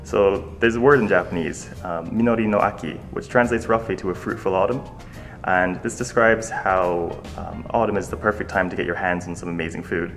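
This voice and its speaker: A man's voice: sits low, brisk, a bit bright, echoey room, faint music behind. A man with an Irish accent co-hosting a radio show, chatting casually with many fillers. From Ireland. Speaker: radio co-host.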